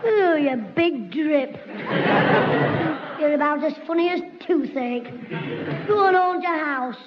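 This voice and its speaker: A man playing a cheeky, scheming schoolboy, firing off quick wisecracks in a 1960s radio sitcom. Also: very high-pitched, expressive, exaggerated voice. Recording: dull hissy old radio recording with reverb.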